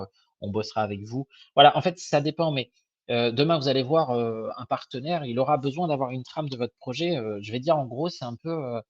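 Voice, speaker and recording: A young man with a slightly hoarse voice gives a casual webinar presentation as an advisor, hesitant and informal, with many fillers and restarts. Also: mid-range voice, a bit bright, dry recording. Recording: dull, lo-fi web call audio.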